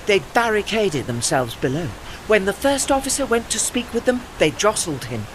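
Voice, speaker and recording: An actor voicing an old, learned, wistful retired warship with a formal, articulate, British way of speaking in an audio play. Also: male voice, high voice, big pitch swings, normal speed, noisy background. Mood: measured, recounting. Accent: British English. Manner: formal, articulate, pedantic, apologetic. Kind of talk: audio drama dialogue.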